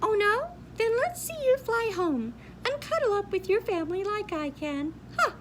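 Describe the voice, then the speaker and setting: Squeaky chirping little bird. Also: female voice, big pitch swings, normal speed, noisy background. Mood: scornful. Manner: chirpy, scornful. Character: tiny chirping scornful bird.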